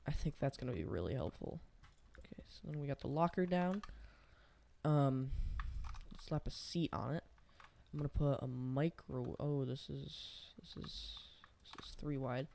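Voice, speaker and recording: A man's voice: mid-range voice, animated, a little slow, creaky, dark tone, slight background noise. A young man casually commenting on his own gameplay, thinking aloud and rambling as he builds. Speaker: gaming channel host.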